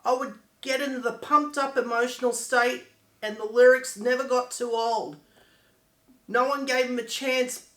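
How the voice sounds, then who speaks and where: A man's voice: extremely high pitch, steady tone, moderate pace, a bit bright. Echoey, earnest, grieving friend acted by a man. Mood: sorrowful, disappointed. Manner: earnest, reflective. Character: grieving friend who believed in a failed musician. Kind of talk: dramatic monologue. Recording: echoey room.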